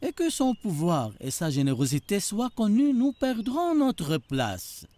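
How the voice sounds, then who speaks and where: Scheming cartoon rabbi, jealous and insinuating, high voice, slow delivery, close dry sound. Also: male voice, huge pitch swings, warm tone, no room sound, exaggerated voice. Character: scheming senior male rabbi, jealous of his standing. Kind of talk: animated religious drama acting.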